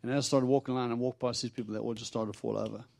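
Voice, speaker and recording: A man's voice: mid-range voice, steady tone, racing, gravelly, a little mellow, dry recording. A middle-aged man from South Africa with a Texan accent, preaching a chatty, humorous sermon and acting out his talks with God. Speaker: visiting preacher. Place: church.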